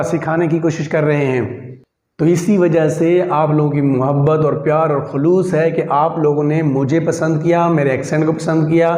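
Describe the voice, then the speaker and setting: A man's voice: fairly high pitch, a little mellow. A man with a Pakistani accent giving a methodical, repetitive step-by-step grammar lecture to online students. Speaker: English grammar teacher. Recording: echoey room.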